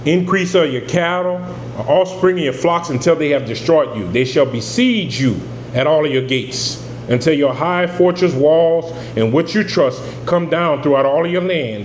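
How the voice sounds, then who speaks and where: A man teaching scripture, reading verses aloud and then explaining them in plain, emphatic talk. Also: a little high. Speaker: preacher. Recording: echoey room with air conditioning hum.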